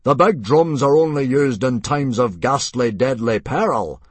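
A man voicing a grumpy, sneering rival Viking chief in a storybook, booming with indignation. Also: expressive, a little slow, slightly rough, no room sound. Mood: sneering, disapproving.

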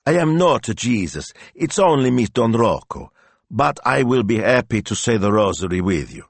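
A man's voice: medium pitch, very animated, normal speed, gravelly, dry recording, pitched above the performer's own voice. A man voicing an affable, easily flustered Italian parish priest in an audiobook story. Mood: flustered, polite.

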